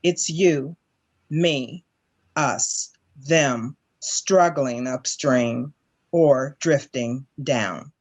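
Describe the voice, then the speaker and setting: A reader giving a slow, measured recitation during a service. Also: mid-range voice. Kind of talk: reading aloud. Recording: dull lo-fi recording.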